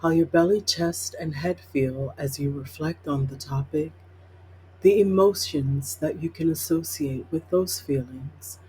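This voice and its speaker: A meditation guide reading a scripted guided meditation in measured, instructional, spaced-out phrases. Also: medium pitch, darkish tone, slight background noise, rough recording. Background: plucked guitar music.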